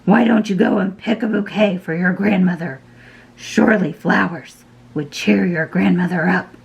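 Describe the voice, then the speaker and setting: A woman's voice: on the low side, normal speed, vocal fry, husky, muffled recording, heavily acted. A woman voicing a sly, hungry male wolf in a storybook, coaxing with feigned sweetness.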